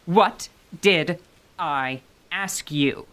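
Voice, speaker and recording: A performer voicing a grim, composed female warrior who speaks in a calm, measured, stern way. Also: mid-range voice. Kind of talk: radio drama acting.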